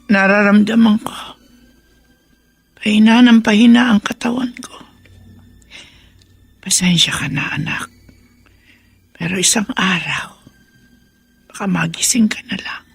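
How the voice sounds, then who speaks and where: Radio drama mother: ailing, gentle, breath-starved rasp, slow delivery, close dry sound. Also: mid-range voice, no echo, soft background music, exaggerated voice. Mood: weak, resigned. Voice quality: breathy, weak, whispery. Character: frail, sickly old mother, resigned and gentle. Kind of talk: Filipino radio drama acting.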